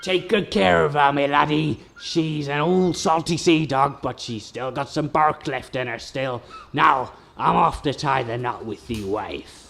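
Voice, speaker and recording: Creaky, hearty old captain. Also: male voice, a little high, measured pace, few pauses, fairly bright tone, a little hiss or hum. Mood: jovial. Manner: hearty, drawling pirate talk. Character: gruff, cheery one-eyed old pirate sea captain. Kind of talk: comic radio sketch acting.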